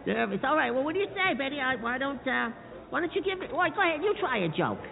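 A man voicing an excitable, anxious, good-natured male amateur comic in a squeaky cartoonish voice, stammering and repeating himself. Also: quick pace, creaky, phone-quality sound, full character voice. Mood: eager, nervous. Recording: thin band, reverberant club, crowd noise.

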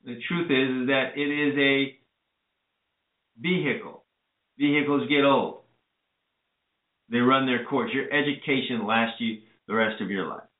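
A man's voice: medium pitch, unhurried, long pauses. A man hosting a call-in radio show, earnestly advising listeners and then bringing on a guest. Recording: echoey, phone-like lo-fi audio.

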